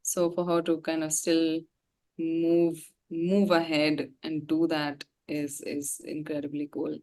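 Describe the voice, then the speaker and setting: A woman's voice: low voice, completely flat delivery, slow, warm tone. A woman chatting casually as an interview guest, effusive and full of slang. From India. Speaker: singer and musician, interview guest. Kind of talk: casual chat.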